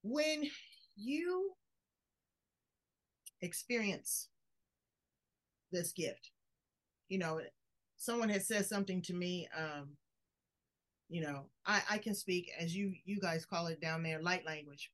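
A woman hosting an interview show in a conversational style, introducing and questioning a guest. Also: on the low side. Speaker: podcast host interviewing a guest.

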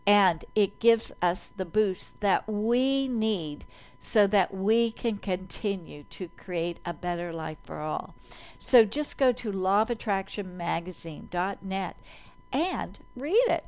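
A woman's voice: medium pitch, expressive, slow, darkish tone, smooth. A woman hosting a talk radio show, speaking with enthusiasm. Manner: enthusiastic, upbeat.

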